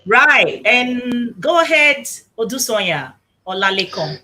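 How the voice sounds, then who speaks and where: A woman's voice: a little high, sing-song, slow, noisy background. A woman hosting a live online talk show, upbeat and welcoming as she introduces her guests.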